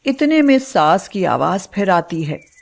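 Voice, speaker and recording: A woman's voice: fairly low pitch, big pitch swings, a little slow, gravelly. A woman narrator reading a scripted dramatic family story.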